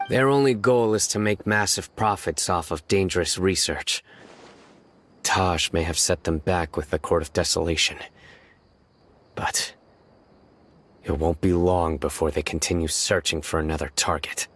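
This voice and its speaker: An actor playing a stern young male judge in a video game, speaking in a measured, composed way. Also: deep voice, fairly even intonation, fairly bright tone. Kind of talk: video game cutscene voice acting.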